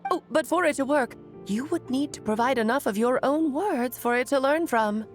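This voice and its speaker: A woman playing a whimsical, affectionate magician in a video game, with a theatrical, musing delivery and drawn-out phrasing. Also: sits high, close to an everyday voice. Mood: musing, gently regretful. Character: whimsical, affectionate female magician and old friend. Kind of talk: dramatic video game voice acting. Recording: music under the voice.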